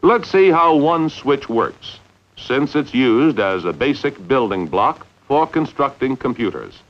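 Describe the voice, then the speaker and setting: An old, slightly muffled film soundtrack carries a man's scripted instructional narration in 1950s style. He speaks at a mid-range pitch with animated intonation, measuring out each phrase and stressing key words deliberately.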